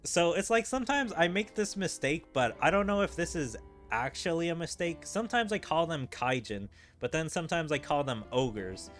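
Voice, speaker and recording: A man's voice: on the high side, big pitch swings, normal speed, few pauses, a bit bright. A man giving casual video game commentary as a streamer. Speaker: gaming streamer. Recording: game music behind the voice. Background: video game music.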